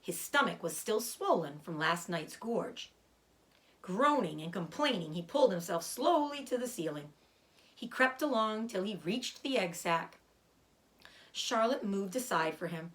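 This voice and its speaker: A woman reading a children's book aloud as an expressive storyteller. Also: medium pitch, a little slow, rough recording.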